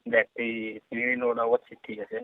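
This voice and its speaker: A man's voice: medium pitch, very animated, normal speed, creaky, slight background noise. A man with a Bengali accent questioning someone confrontationally over the phone in short, repeated questions. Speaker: phone caller posing as a girl's uncle. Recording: tinny phone-line sound, dry.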